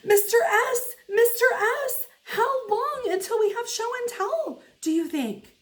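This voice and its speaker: A woman voicing an excitable, pushy first-grade girl from a children's storybook, with emphatic, childlike phrasing. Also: extremely high pitch, measured pace, voice pushed up. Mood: eager, insistent. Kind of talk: children's storybook read-aloud.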